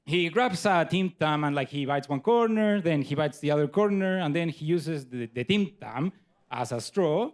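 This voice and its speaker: A man's voice: on the high side, expressive, normal speed. A man from Chile with a Chilean Spanish accent and Spanish as his first language, performing stand-up comedy. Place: comedy club stage.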